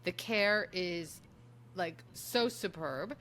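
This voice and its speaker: A woman's voice: on the low side, very slow, a bit bright, a little hiss or hum. A woman hosting an interview in a conversational way, effusive and given to emphatic repetition. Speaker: interview host.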